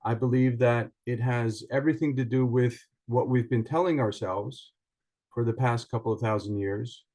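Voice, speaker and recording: A man from Iceland giving a prepared self-introduction in an online meeting, measured and articulate with formal wording. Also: medium pitch, steady tone, warm tone. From Iceland, raised partly in other countries. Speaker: participant, journalist and writer. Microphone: online video call.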